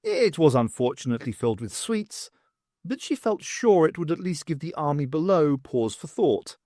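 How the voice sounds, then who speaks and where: Casting: man for dramatic audiobook narration, very animated delivery, close dry recording. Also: fairly high pitch, moderate pace, dry recording. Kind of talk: scripted dramatic story narration.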